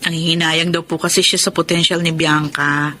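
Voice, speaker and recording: A man's voice: a little high, flat delivery, moderate pace, pushed and bright, a little hiss or hum. A man playing a mild, polite spouse in a radio drama. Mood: matter-of-fact.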